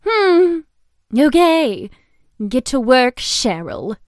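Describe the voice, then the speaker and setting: A woman's voice: high-pitched, big pitch swings, extremely slow, no room sound, clean studio sound, heavily acted. A woman playing a haughty, bossy rich young lady in a visual novel, with an imperious, condescending manner.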